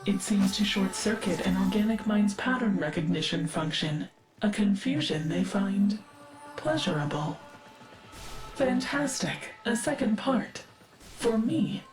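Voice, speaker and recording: A woman's voice: medium pitch, a little mellow, lightly acted. Video game AI archivist, female, actress: learned, wistful, precise, mid-range voice in echo over music. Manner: measured, precise, formal. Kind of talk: scripted video game character dialogue.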